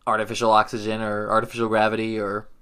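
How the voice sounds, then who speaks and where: A man's voice: fairly low pitch, monotone, fast, a bit bright, smooth. A man co-hosting a podcast, chatting casually and playfully about games and movies. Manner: playful, joking. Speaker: podcast co-host.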